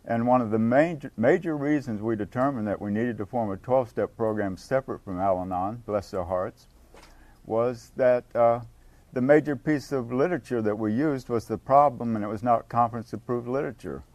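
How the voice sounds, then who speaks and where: An older man giving a casual workshop talk to a live group on a handheld mic, halting and often restarting his sentences. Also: medium pitch, a little mellow, no room sound. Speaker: workshop speaker at a recovery meeting. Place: meeting room with an audience. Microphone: handheld microphone.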